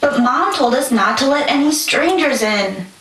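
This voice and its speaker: A woman's voice: high-pitched, animated, moderate pace, fairly bright tone. A woman playing a wary, obedient little girl in a puppet show, with childlike singsong delivery. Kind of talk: puppet show stage acting. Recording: distant echoey room, faint noise.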